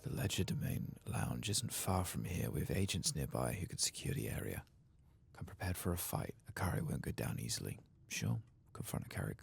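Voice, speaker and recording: A man giving soft-spoken, often whispered ASMR game commentary in a gravelly, musing mutter. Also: very deep voice, sing-song, a little fast. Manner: musing, muttering understated reactions. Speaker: ASMR streamer playing a video game.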